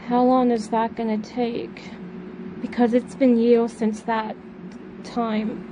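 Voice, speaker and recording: Withdrawn grieving daughter played by a woman, slightly high, flat and hesitant, audio drama, noisy background. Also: slow pace, dull audio. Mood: weary, troubled. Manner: hesitant, downcast delivery. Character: grieving, withdrawn daughter who lost her mother. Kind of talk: amateur audio drama acting.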